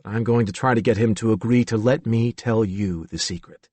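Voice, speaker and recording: A man's voice: lowish voice, normal speed, mellow, husky, no echo. A man reading an audiobook, voicing a woman psychologist who reasons with an earnest, persuasive manner.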